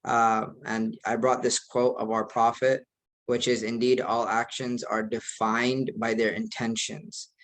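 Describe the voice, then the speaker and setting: A young man from the United States, speaking as an imam in an earnest, measured way. His pitch stays level and even throughout, with little rise or fall. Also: rough recording. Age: young adult. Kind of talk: online presentation.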